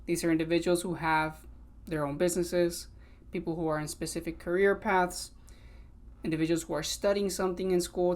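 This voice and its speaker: A man in his twenties from California, also raised in Mexico, hosting a solo podcast episode in an earnest, conversational way and switching between English and Spanish. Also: high-pitched. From Sacramento, California, also raised in Mexico. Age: 20s. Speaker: podcast host.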